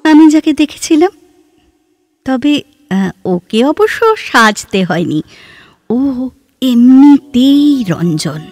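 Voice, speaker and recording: A woman playing an articulate, wistful, reflective woman in a literary audio drama, with measured, theatrical phrasing. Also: sits high, very animated, darkish tone, no echo, clean studio sound, faint music behind, heavily acted. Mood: explaining, teasing.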